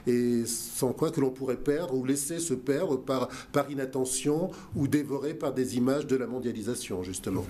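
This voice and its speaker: A man's voice: mid-range voice, normal speed, few pauses, dark tone. A man guest on a talk show, speaking earnestly with many fillers and restarts. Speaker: guest, museum director. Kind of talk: radio talk show interview.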